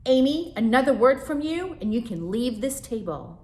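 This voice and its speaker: A woman's voice: lowish voice, animated, drawn-out words, creaky, mellow, heavily acted. A woman voicing a stern, fed-up middle-aged mother who gives clipped, firm commands at the dinner table.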